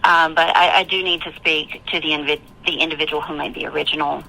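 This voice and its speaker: Low, bright-voiced firm woman on the phone. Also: flat delivery, creaky, rough recording. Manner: firm, polite, halting, repetitive. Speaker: campground management staff. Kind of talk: formal customer phone conversation. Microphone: telephone.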